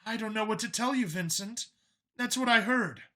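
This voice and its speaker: Man putting on high, earnest anxious mother. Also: normal speed, sharp tone. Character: Vincent's mother, earnest, anxious woman, pleading softly. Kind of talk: audiobook character voice in science fiction story.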